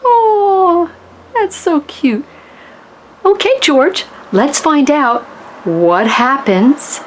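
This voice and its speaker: A woman reading a children's storybook aloud in an expressive way, chatting to the characters and listeners as she goes. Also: high voice, extremely slow, a little hiss or hum. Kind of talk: animated read-aloud for young children.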